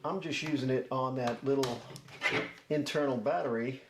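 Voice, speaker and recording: A man's voice: medium pitch, moderate pace. A man giving a casual, chatty product demo and review, folksy as he talks viewers through each step. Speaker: host of a DIY product review channel. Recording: roomy echo, faint background noise.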